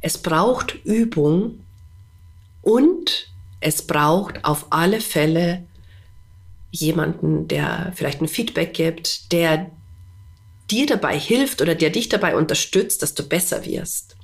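A podcast host speaks with a stuffy, slightly congested voice, sitting a touch low in her range. She talks slowly and earnestly, putting rhetorical questions straight to the listener. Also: lively intonation, husky. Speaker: animal communicator. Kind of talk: spontaneous monologue to listener.

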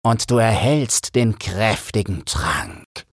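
A man's voice: fairly low pitch, very animated, husky, no room sound, clean studio sound, voice pushed up, full character voice. A man voicing a greedy, menacing female sea witch in a storybook reading, drawing out the words with relish. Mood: taunting. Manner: slow, drawn-out, relishing menace.

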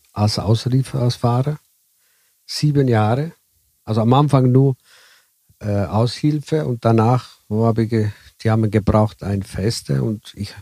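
A man from southern Italy speaking German with an Italian accent, telling his story haltingly as an interview guest. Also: medium pitch, dark tone, dry recording. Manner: halting, broken German with many fillers. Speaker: guest, bus driver. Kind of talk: casual interview chat.

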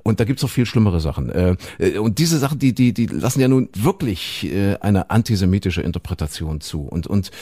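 A low-voiced man co-hosts a casual podcast, speaking earnestly with a cold. He halts often, with fillers and repeated words. The sound is dry and close, with no room echo. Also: lively intonation, a little fast, few pauses, dark tone, husky. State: has a cold. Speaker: podcast co-host.

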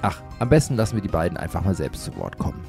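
A man's voice: low voice, very animated, fast talker, creaky, darkish tone. A man reading scripted announcements as presenter of an audio magazine. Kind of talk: scripted narration. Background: music.

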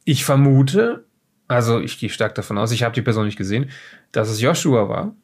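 A man playing a shifty former safecracker in an audio drama, self-justifying and rambling, with a gravelly voice and stammered restarts. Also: medium pitch, animated, brisk, a little mellow, close to an everyday voice. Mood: flustered, unsure. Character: shifty former safecracker defensively recounting being attacked and kidnapped. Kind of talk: improvised audio drama dialogue.

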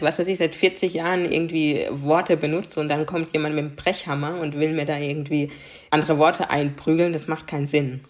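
Young adult woman, interview guest; earnest, explanatory, conversational, ends points with "ne?". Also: low voice. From Germany, Karlsruhe. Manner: earnest, explanatory, frequent "ne?" tags. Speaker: diversity coach, guest.

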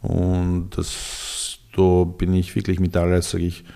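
A man's voice: deep voice, completely flat delivery, slow pace, creaky, mellow. A middle-aged man with a Serbian accent, Serbian his first language, telling his story in a casual interview with many hesitations and restarts.

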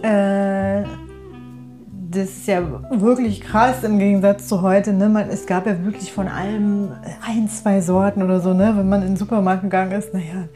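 A woman's voice: medium pitch, flat delivery, normal speed, warm tone, faint music behind. A middle-aged woman from East Germany chatting casually in colloquial speech, often ending on tag questions. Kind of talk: casual chat.

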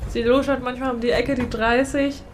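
A woman's voice: sits high, level tone, moderate pace, slight background noise. A woman in her late twenties co-hosting a casual podcast, talking in a chatty, reflective way and giggling often. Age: late 20s. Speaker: podcast co-host. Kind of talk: casual chat.

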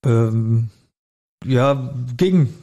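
A man's voice: mid-range voice, expressive, extremely slow, warm tone. A man co-hosting a podcast, casually commenting and thinking aloud in loose phrases. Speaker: podcast co-host. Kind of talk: casual chat, commenting on an audio drama.

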